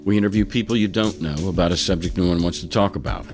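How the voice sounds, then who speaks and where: A man's voice: deep voice, steady tone, fast, a little mellow, soft background music. A man with an American accent reading a scripted podcast introduction as the host.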